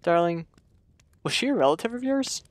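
A man's voice: high-pitched, big pitch swings, normal speed, no echo. A man playing an earnest, uneasy suburban husband in a radio play, talking in a hesitant, conversational way. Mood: uneasy probing.

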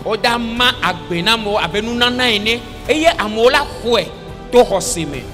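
A man's voice: high-pitched, lively intonation, measured pace. A man interpreting a sermon phrase by phrase on stage, speaking into a handheld microphone. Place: large convention hall, on stage. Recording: long hall reverb, music behind.

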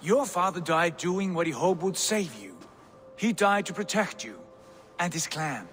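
A man's voice: sits high, expressive, a little slow, a bit bright, faint music behind. An actor playing a brash, swaggering Viking warrior in a video game, boisterous in battle and grave when he speaks of fate. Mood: solemn. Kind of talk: video game cutscene acting.

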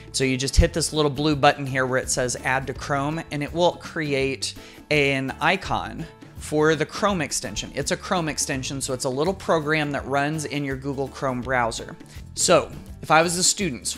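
A man's voice: a little high, fairly bright tone. A middle-aged American man giving a casual, conversational tutorial, explaining things step by step like an educator. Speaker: educator, tutorial video host. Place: small indoor home office at a desk. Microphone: desktop microphone close in front. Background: background guitar music.

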